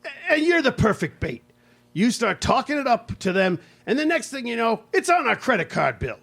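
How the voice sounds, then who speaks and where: A man's voice: extremely high pitch, sing-song, moderate pace, creaky, a bit bright, full character voice. A man playing an exasperated, scolding mid-century husband in a live radio play, nagging and questioning emphatically.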